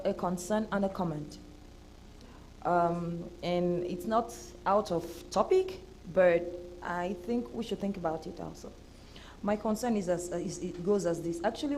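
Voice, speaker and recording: A woman from Burundi speaking from the audience at a workshop panel, working through her point in halting, searching phrases. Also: mid-range voice, dark tone, slight background noise, poor audio. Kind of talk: spontaneous comment from the floor. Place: conference hall.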